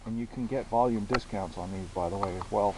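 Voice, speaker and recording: A man giving a casual show-and-tell of his gear, speaking haltingly and often repeating himself as he searches for words. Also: fairly low pitch. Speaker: hobbyist presenter. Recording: hissy amateur home recording.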